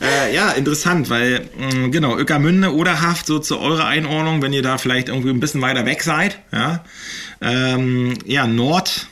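A man in his forties who grew up in northeastern Germany hosts a relaxed conversational podcast. He talks loosely and rambles, often pausing on fillers and restarting his sentences. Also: medium pitch. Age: 40s. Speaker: podcast host.

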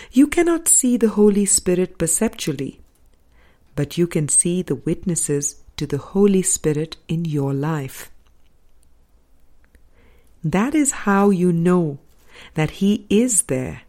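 A woman reading a spiritual audiobook as scripted narration, in deliberate, measured phrases with pauses between them. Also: low-pitched, warm tone. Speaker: audiobook reader.

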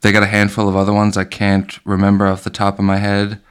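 A man's voice: on the low side, monotone, quick pace, clear, resonant tone. A man hosting a casual movie podcast, joking loosely and swearing freely. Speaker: podcast host. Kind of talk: casual chat about movies.

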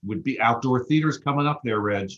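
A man at middle pitch recounts events in a relaxed, matter-of-fact way. His audio is dull and muffled, a lo-fi recording. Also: fairly quick. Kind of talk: casual panel discussion.

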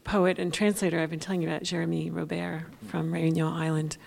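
A woman's voice: deep voice, flat delivery, quick pace, gravelly, a little mellow. A woman interviewing a guest, asking long, winding questions with hesitations. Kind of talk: conversational interview.

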